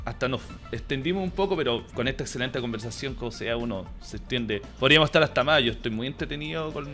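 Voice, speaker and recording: Bright-voiced Chilean man hosting. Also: mid-range voice, animated, quick pace, soft background music. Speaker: interview host. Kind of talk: casual hosting of an online conversation. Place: online interview call.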